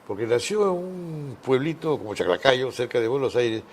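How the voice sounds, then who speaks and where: A man hosting an informal interview, chatty and quick to agree. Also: medium pitch, sing-song, a little hiss or hum. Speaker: interview host.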